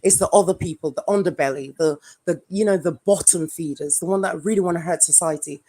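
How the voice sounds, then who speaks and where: Woman, English accent, low-pitched, blunt, dark-humored, casual live-stream guest. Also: fast talker. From Jamaica.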